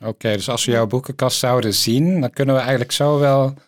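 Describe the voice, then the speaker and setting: A man's voice: mid-range voice, steady tone, fairly quick, no echo. Male interviewer, dry close sound. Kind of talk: conversational book interview.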